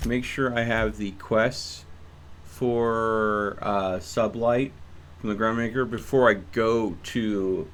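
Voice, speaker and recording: A man's voice: lowish voice, fairly even intonation, slow, slight background noise. A young man streaming a game, chatting casually with a rambling, hesitant manner. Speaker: gaming streamer. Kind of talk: casual live-stream commentary.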